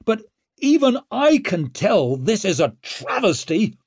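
A man's voice: high voice, big pitch swings, slow pace, darkish tone, slightly rough, no echo, clean studio sound, pitched above the performer's own voice, exaggerated voice. A man voicing a proud, angry young nobleman in an audiobook, blunt and declamatory, with a strained, breaking voice. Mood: indignant, shouting. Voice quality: broken, strained when raised. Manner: blunt, hot-headed, declamatory. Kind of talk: audiobook character acting.

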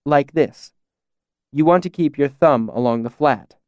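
A man's voice: medium pitch, a little slow, darkish tone, clean tone, no room sound, muffled recording, close to an everyday voice. A man voicing a poised young woman who teases in a wry, matter-of-fact way.

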